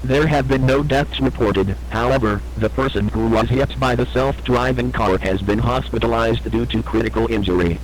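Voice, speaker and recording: Male emergency alert announcer, acted, mid-pitched, formal and grave, reading scripted warnings over a faint hum. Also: moderate pace, hardly any pauses, lightly acted, low-quality recording. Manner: formal, solemn.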